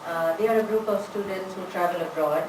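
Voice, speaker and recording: A woman's voice: lowish voice, brisk. A woman giving a conference talk on language teaching, chatty with many "you know"s. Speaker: conference presenter, English teacher. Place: large conference room. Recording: echoey hall, distant mic, background noise.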